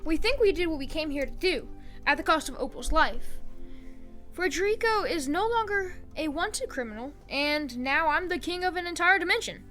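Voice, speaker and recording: A woman's voice: very high-pitched, animated, normal speed, pushed and bright, soft background music, full character voice. A woman playing a bewildered, down-to-earth young man in an audio drama, earnest and plain-spoken. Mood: uncertain. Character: bewildered, down-to-earth young male lost king.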